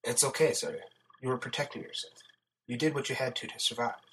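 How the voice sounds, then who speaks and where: A man's voice: medium pitch, steady tone, fast, a bit bright, slight background noise, voice pushed up, natural voice, low-quality recording. A man playing a protective, commanding male survivor in an audiobook, speaking firmly and urgently. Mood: consoling. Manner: firm, urgent.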